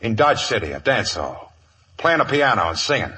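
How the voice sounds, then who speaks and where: Western radio gang boss: gruff, raspy, sharp-edged, gives orders and trusts nobody. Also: male voice, fairly low pitch, big pitch swings, moderate pace, heavily acted. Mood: businesslike. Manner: curt, commanding. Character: gruff, suspicious male outlaw gang boss. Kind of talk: 1950s Western radio drama acting. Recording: vintage 1950s radio broadcast.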